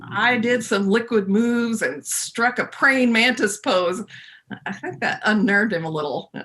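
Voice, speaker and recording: A woman playing a folksy storyteller in her fifties in a readers theater monologue, conversational and confiding, with dramatic pauses. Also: medium pitch, a bit bright, lightly acted. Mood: recounting, confident.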